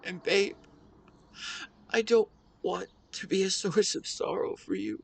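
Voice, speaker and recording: A man playing a grieving, tender husband in an intimate audio drama monologue, speaking haltingly and earnestly to his late wife. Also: high voice, creaky, breathy edge, full character voice. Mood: grieving, anguished. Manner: halting, earnest, emotional delivery with pauses. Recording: outdoor ambience with birdsong behind. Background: birdsong and nature ambience.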